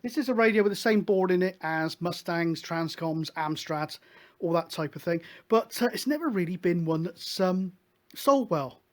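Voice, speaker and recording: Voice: medium pitch, expressive, normal speed. A host explaining things casually, in a hesitant, rambling, matter-of-fact way. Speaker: host of a hobby CB radio show.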